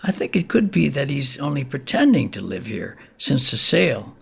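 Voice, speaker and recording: A man's voice: mid-range voice, big pitch swings, moderate pace, gravelly, a bit bright, husky, full character voice. An actor playing a chatty, folksy older neighbor who tells his story in a colloquial, easygoing way in an audio play. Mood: confiding. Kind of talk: theatrical monologue in an audio play.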